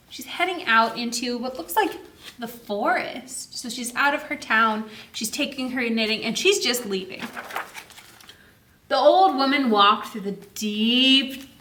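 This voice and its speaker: A woman's voice: on the high side, echoey room. A woman hosting a children's story time, reading a picture book expressively and putting questions to her young listeners. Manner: expressive, asks the listening children questions, exaggerated slow delivery.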